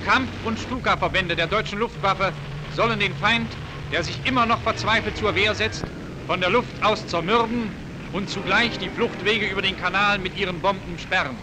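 High-pitched male 1940s wartime newsreel narrator. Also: moderate pace, noisy background. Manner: emphatic, declamatory, clipped military delivery. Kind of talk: 1940 wartime propaganda newsreel narration, scripted. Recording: vintage 1940s newsreel film soundtrack.

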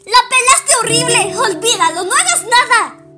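Woman acting teenage girl, girlish, very high pitch, manga fandub, clear music behind. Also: expressive, moderate pace. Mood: bossy, scolding. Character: bossy but shy teenage girl shop worker.

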